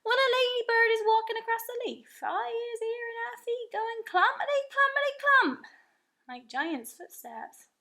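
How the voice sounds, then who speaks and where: A woman doing a big gentle giant, lively. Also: very high-pitched, moderate pace, pitched above the performer's own voice, heavily acted. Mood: wondering. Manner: broken grammar, folksy, animated. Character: big gentle old male giant, earnest.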